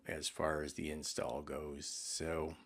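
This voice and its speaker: Male presenter, deep register, for an informal retail product demo; plain, explanatory, slightly halting delivery. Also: completely flat delivery, gravelly, a bit bright, slightly rough.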